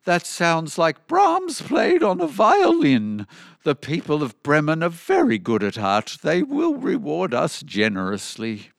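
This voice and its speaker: A man's voice: on the high side, wildly swooping pitch, measured pace, creaky, no room sound, pitched above the performer's own voice, full character voice. A man voicing a cheerful, encouraging old donkey in a children's storybook, exclaiming with enthusiasm. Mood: approving. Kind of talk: animated children's storybook character voice.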